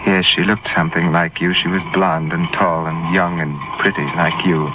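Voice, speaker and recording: An actor playing a nervous, smoothly sardonic killer who poses as a police inspector in an old-time radio drama, speaking formally and theatrically. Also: male voice, low voice, level tone, close to an everyday voice. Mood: guarded, polite. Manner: formal, theatrical, halting self-talk. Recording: muffled, very noisy old radio recording.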